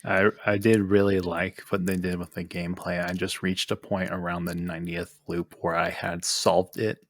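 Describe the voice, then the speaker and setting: A man's voice: deep voice, level tone, normal speed. A man chatting casually about games as a podcast co-host.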